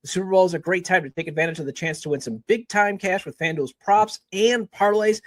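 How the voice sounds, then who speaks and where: Guy with a high voice doing a scripted sports podcast ad read, really dry recording. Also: a little fast, dry recording. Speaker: sports podcast host reading promos.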